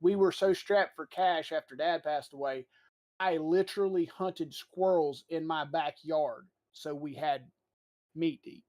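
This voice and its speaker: A man's voice: fairly high pitch, a little slow, a little mellow. Laid-back, plain-spoken male co-host. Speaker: podcast co-host. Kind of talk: casual podcast chat.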